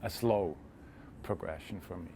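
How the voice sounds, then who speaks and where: A man's voice: sits low, measured pace, mellow, a little hiss or hum. A man, talking casually as a guest in an interview about himself. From Switzerland. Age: 40s. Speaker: interview guest, freediving instructor.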